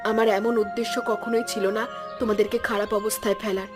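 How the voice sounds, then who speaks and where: A woman's voice: medium pitch, flat delivery, fast, lightly acted. Firm, moralizing old village woman acted by a woman. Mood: earnest, forgiving. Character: stern, principled old village woman who preaches against greed. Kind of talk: Bengali fairy-tale cartoon voice acting. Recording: music behind the voice. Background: background music.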